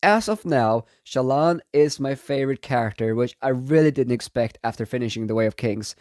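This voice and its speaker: A man's voice: mid-range voice, moderate pace, a little mellow, dry recording, clean studio sound. A young man reviewing a fantasy novel in a casual, chatty way while he has a cold. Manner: enthusiastic, chatty, repeats himself. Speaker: book reviewer. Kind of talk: casual book review vlog.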